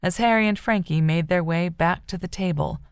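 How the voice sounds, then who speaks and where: A woman's voice: lowish voice, normal speed, smooth, no echo, clean studio sound. A woman reading scripted fiction as an audiobook narrator.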